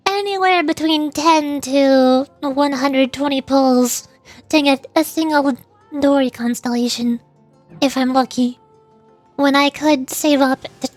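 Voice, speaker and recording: A woman streamer chatting casually about a video game in a rambling, playfully exasperated way, often halting and repeating words. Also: sits high, no room sound. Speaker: streamer, VTuber. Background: background music.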